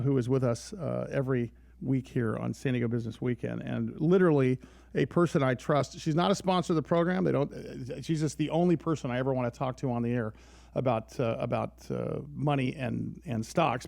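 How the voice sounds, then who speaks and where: A man's voice: medium pitch, gravelly, darkish tone. A middle-aged American man hosting a conversational radio interview, speaking in an informal, effusive way. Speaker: radio show host.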